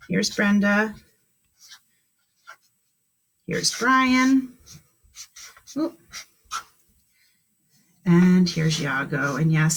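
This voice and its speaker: A woman's voice: medium pitch, low-quality recording. A woman teaching kindergarten on a live stream, speaking encouragingly to children in simple questions and instructions.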